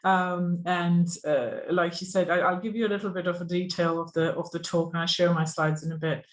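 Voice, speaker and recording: A speaker from Pakistan gives an online talk about software teams over a video call, in an earnest, halting way with frequent ums and restarts. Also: mid-range voice, little pitch movement. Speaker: software engineer giving a conference talk. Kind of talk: online presentation.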